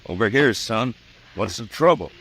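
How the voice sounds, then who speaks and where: An actor playing a stern, level-headed American frontier marshal in an old radio drama, terse and commanding. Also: male voice, on the low side, lively intonation, normal speed, a bit bright, slight background noise. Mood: concerned.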